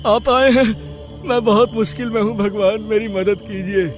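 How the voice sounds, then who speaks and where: A man's voice: high voice, fairly even intonation, moderate pace, music in the background, close to an everyday voice. A man playing a humble middle-aged father, anxious and pleading, in a dramatic story. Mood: tearful pleading. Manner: emotional, pleading. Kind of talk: dramatic Hindi animated story acting.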